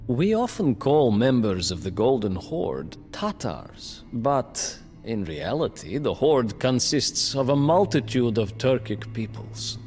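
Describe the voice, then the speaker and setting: Captive medieval chronicler, grave and wry, game cutscene narration, animated intonation, long reverb, music behind. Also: male voice, medium pitch. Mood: grave storytelling. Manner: measured, deliberate, storyteller-like. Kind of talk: dramatic scripted historical narration for a game cutscene.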